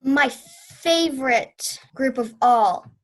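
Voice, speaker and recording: A young woman acts out an eccentric old aunt from vaudeville days in a student radio play. The voice is high, with grand, theatrical delivery full of proud reminiscence. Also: drawn-out words, heavily acted. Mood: grand, nostalgic.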